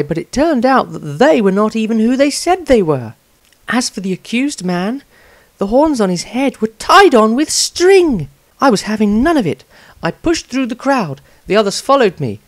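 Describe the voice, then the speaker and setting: Man voicing an animated, earnest young priestess. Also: very high-pitched, no echo, pitched above the performer's own voice, heavily acted. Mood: indignant. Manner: prim, indignant storytelling. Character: earnest, indignant young woman, a trainee priestess adventurer. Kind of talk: dramatised diary reading.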